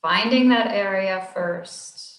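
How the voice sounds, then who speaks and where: A woman slowly leading a guided body scan meditation in a gentle, soothing voice with long pauses. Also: medium pitch, echoey room. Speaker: meditation instructor.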